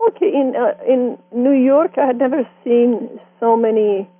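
A woman in her sixties from Iran with a Persian accent, telling her life story in an interview with reflective, gently amused warmth. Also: fairly high pitch, fairly even intonation, measured pace, slight background noise. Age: 60s. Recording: dry tinny phone-like sound.

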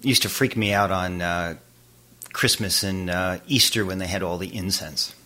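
An older man from Boston, an abstract painter, talking over his early life in a reflective, unhurried way with frequent fillers and trailing phrases. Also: low-pitched, bright, forceful tone. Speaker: interview guest, abstract painter. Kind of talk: relaxed conversational recollection.

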